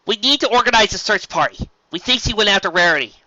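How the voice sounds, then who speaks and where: A man's voice: high-pitched, expressive, fast, bright, forceful tone, dry recording, dull audio, pitched above the performer's own voice, full character voice. A man voicing a composed, responsible young female pony who takes charge and reassures. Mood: urgent.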